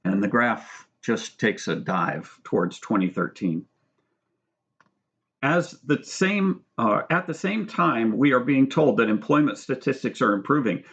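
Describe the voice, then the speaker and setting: A man reading his own book aloud as scripted narration, in a measured, formal manner. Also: medium pitch, big pitch swings, fairly bright tone, lo-fi sound. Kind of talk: scripted narration with brief spoken asides.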